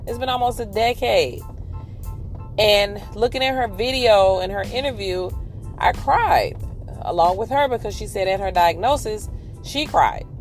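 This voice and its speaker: A woman in her forties with a somewhat deep voice, chatting candidly and upbeat while she drives on her commute. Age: 40s. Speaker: podcast host. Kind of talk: casual chat while driving.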